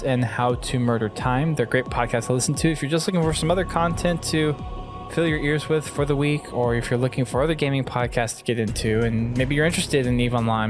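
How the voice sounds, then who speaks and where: A man speaking casually as the host of a gaming podcast. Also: mid-range voice.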